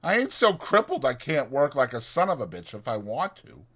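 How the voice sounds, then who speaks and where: A man voicing a bitter, proud, cynical stable hand with blunt, rough rural speech for a dramatized audiobook. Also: medium pitch, very animated, normal speed, a bit bright, voice pushed up. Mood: hesitant, wistful.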